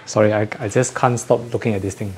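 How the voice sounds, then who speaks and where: A man's voice: fairly low pitch, fairly even intonation, fast. Man chatting casually over noise. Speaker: host of an audio gear channel. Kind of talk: casual unboxing chat.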